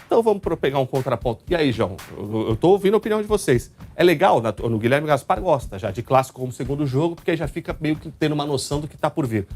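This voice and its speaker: A quick-paced male host with a São Paulo state Brazilian accent, at a mid-range pitch, talking football live over background music. His delivery is chatty and colloquial, with some teasing. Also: animated, darkish tone. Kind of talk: casual live football talk show commentary. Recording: music under the voice.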